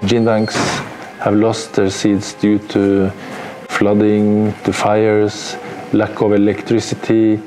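A man's voice: lowish voice, monotone, slow, dark tone, soft background music, low-quality recording. A man working as a seed vault coordinator, explaining in a plain, matter-of-fact way during an interview.

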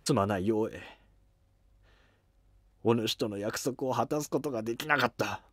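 A man playing a devoted, emotional Buddhist monk in a historical drama, with a husky, heartfelt delivery. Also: mid-range voice, normal speed, fairly bright tone, no room sound, studio quality, natural voice. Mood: remorseful.

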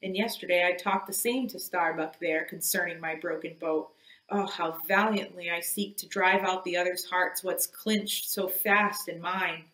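A woman's voice: on the low side, steady tone, normal speed, fairly bright tone, lightly acted. A woman reading an audiobook, voicing an obsessed old male sea captain who declaims with grim, imperious force, in an echoey room. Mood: brooding.

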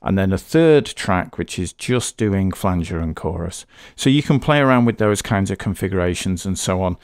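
Lower-pitched man giving a software tutorial. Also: animated, moderate pace, smooth. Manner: methodical, explanatory. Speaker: tutorial host, game developer. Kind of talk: unscripted step-by-step software tutorial.

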